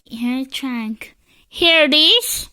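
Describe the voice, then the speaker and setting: A very high, childlike voice reads a picture book aloud at a slow pace. The delivery is halting, with stumbles and repeated words, and the pitch moves up and down in a lively way. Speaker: storybook reader.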